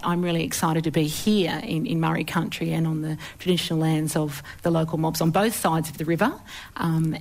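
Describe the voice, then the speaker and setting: A woman with an Australian accent talking conversationally as a panelist, reflective and thinking aloud. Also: lowish voice. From Sydney, Australia. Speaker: panelist, writer.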